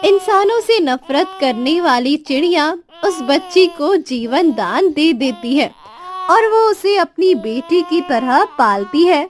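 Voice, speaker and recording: Very high-pitched woman narrating slowly. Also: lively intonation, a little hiss or hum. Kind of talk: scripted children's story narration. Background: music.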